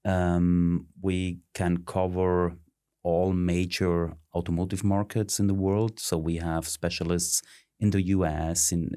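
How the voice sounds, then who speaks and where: A middle-aged man with a very low voice talks through his career. He pauses often, filling gaps with ums and uhs. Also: a little mellow, clean tone. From Switzerland. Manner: halting, many ums and uhs. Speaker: interview guest, search consultant. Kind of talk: conversational interview.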